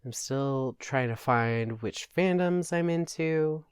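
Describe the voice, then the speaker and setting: A man's voice: medium pitch, slow pace, smooth, no room sound, clean studio sound. A man hosting a podcast and reading fan fiction aloud in a scripted narration style. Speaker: podcast host and story reader.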